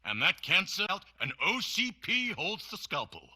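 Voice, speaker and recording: A man playing a hard-nosed corporate chief in a video game, making a forceful public statement in a rough, strained voice. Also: high-pitched, very animated, a little slow, exaggerated voice. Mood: grim, resolute.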